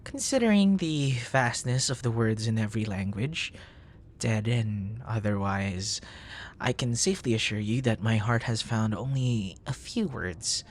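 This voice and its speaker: A man playing a bashful, bookish young nerd in an intimate ASMR boyfriend roleplay, speaking softly and breathily in flowery, formal phrases, flustered and hesitant, often slipping toward a whisper. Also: fairly low pitch, lively intonation, a little slow. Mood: smitten, tender.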